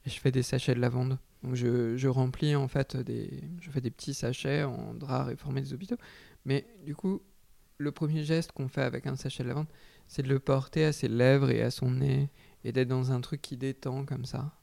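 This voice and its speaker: A man's voice: medium pitch, steady tone, moderate pace, gravelly, mellow, dry recording. A man speaking as a textile artist in an interview, giving reflective answers and often hesitating while he searches for words.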